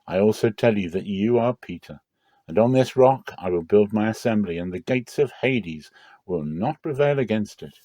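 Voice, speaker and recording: A man's voice: fairly low pitch, lively intonation, normal speed, dark tone, no room sound. A man voicing a stern, authoritative male teacher who instructs emphatically, in a dramatic scripture reading. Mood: solemn, authoritative.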